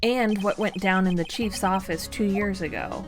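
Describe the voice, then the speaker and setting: A woman's voice: mid-range voice, moderate pace, over music, lightly acted. A woman reading the lines of an earnest young male defense attorney from a game, with a determined, plain delivery. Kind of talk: reading game dialogue aloud on stream.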